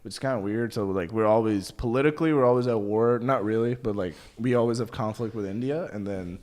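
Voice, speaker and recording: A man's voice: on the low side, a little fast, a little mellow. A young man from Pakistan, an Urdu speaker, talking casually and jokingly as a podcast guest. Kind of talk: casual conversational interview.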